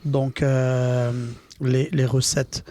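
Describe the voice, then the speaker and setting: A man's voice: mid-range voice, completely flat delivery, drawn-out words, dark tone. A man hosting a podcast interview, supportive and often finishing his guest's sentences. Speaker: podcast host.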